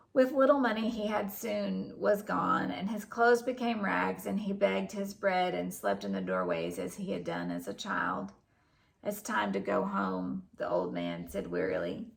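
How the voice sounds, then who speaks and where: Female storybook narrator, very gravelly fry, unhurried and expressive delivery. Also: mid-range voice. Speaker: storybook reader. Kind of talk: scripted narration, children's story read aloud.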